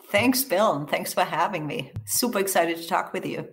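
Austrian-American woman, lower voice. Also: normal speed, fairly bright tone. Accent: mix of American and Austrian. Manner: enthusiastic, with frequent fillers and restarts. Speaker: guest, HR research executive. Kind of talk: podcast interview.

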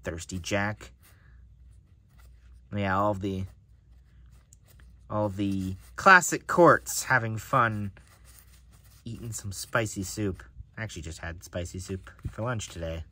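A man's voice: lowish voice, slow pace, long pauses, pushed and bright. A man casually narrating an unboxing of playing cards, musing to himself as he handles them. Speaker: card collector reviewing decks. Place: indoors at a table.

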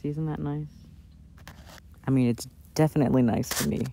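A man doing a casual, intimate ASMR boyfriend roleplay in a soft-spoken, playful way, recorded outdoors. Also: a little high, fairly even intonation, moderate pace, vocal fry. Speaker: audio roleplay performer playing a boyfriend. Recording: outdoor recording with background noise. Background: outdoor ambience and noise.

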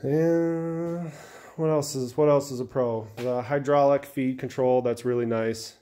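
An American man giving an informal, blunt, unscripted product review in a halting way, correcting himself as he goes. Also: mid-range voice, fairly even intonation, dark tone. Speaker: welder-fabricator reviewing a tool. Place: indoor metalworking garage workshop.

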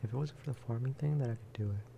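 Soft-spoken man with a very mellow voice. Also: medium pitch, racing, vocal fry, slight background noise. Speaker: gaming video creator. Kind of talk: soft-spoken ASMR gameplay chat.

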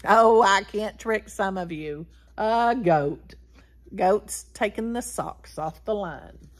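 A woman in her sixties hosting a story time, reading aloud and talking directly to young children in an animated way. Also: mid-range voice. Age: 60s. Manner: animated, addressing children directly, drawn-out words and animal sounds. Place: small wood-paneled room indoors.

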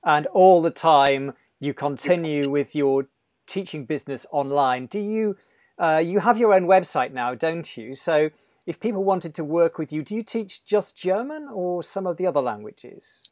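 A middle-aged man hosts an interview from a home study, asking short questions over an earphone headset. His voice sits fairly high for a man. The sound is dry and close. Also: expressive, normal speed, darkish tone, dry recording. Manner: brief questions and back-channel yeahs. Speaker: interviewer, host. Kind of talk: video call interview. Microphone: earphone headset on a video call.

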